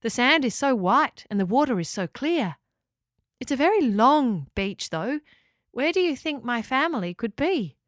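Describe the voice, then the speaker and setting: A woman voicing a gentle, polite female walking house in a storybook. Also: sits high, sing-song, measured pace, dry recording, studio quality, voice pushed up. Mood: kind. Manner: soft and polite.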